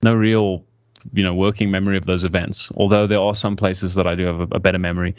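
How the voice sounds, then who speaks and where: Low-voiced man, halting speech. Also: little pitch movement, clean tone, no room sound. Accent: mixed American and South African English. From South Africa. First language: American English. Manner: hesitant, halting, with many restarts. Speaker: guest. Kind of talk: reflective conversational interview.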